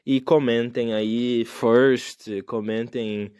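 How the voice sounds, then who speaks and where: A man's voice: mid-range voice, level tone, very slow, darkish tone, clean tone, no echo, clean studio sound. A young man hosting a solo podcast, talking in a casual, reflective and rambling way with many fillers. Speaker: podcast host. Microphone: phone.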